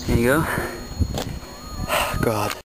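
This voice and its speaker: A man's voice: mid-range voice, extremely slow. A man with a French accent, a native French speaker, narrates a casual, unscripted car vlog outdoors in a hesitant, candid way, swearing casually. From Switzerland. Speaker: amateur car enthusiast filming own video. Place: outdoors beside a parked car. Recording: noisy amateur recording with car sounds. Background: loud car engine and ambient noise.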